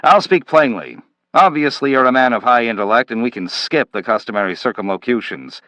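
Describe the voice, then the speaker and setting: A man's voice: lowish voice, lively intonation, unhurried, a bit bright, no room sound. A man voicing a brusque, intense agency chief. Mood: impatient. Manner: impatient, brusque, emphatic. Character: brusque intense male time-travel agency head.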